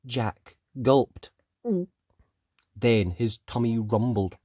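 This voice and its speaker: A children's story reader and host giving animated storybook narration, with dramatic emphasis and pauses. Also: mid-range voice, dry recording, clean studio sound.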